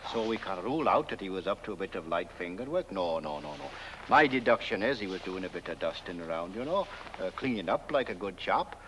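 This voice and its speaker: An actor with a British accent playing a self-important, dim village constable in a comic film, rambling and pompous. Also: male voice, sits low, animated, a little fast, a bit bright, slight background noise, low-quality recording. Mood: self-satisfied. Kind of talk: 1970s comic film acting.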